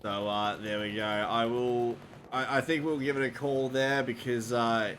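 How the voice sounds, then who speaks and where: A man's voice: mid-range voice, a little slow, slight background noise. A man chatting casually after a game, rambling with many fillers. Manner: relaxed, rambling with fillers, laughing. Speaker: esports caster. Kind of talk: casual post-match chat.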